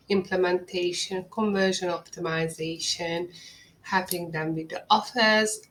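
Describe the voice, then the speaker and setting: A woman with a Hungarian accent presents a webinar, taking her time over each phrase. Her voice sits on the lower side, and she sounds earnest and persuasive, like a pitch she has rehearsed. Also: a bit bright, slight background noise, low-quality recording. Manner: earnest, measured, salesy. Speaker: marketing agency owner presenting a webinar.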